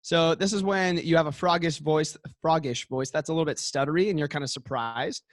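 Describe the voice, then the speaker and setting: A man co-hosting a casual chat podcast, talking quickly with lots of "like" and "you know what I mean.". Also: fairly high pitch, no room sound, clean studio sound. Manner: talks fast, says he hurries to get through a point. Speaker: podcast co-host.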